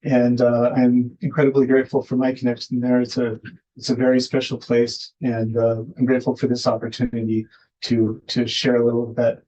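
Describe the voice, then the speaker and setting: An American man giving an informal guest speech in a halting, hesitant way, often restarting his phrases. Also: medium pitch, completely flat delivery, warm tone, highs cut off. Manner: halting, hesitant delivery with repeated starts. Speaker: guest speaker, filmmaker. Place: indoor event hall, speaking at a podium.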